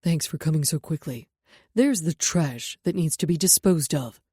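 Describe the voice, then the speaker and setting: Protective man, low and soothing, voiced by a female narrator, calm, dry close sound. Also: normal speed, breathy edge, dry recording. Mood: curt. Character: protective male bodyguard, steady and tender.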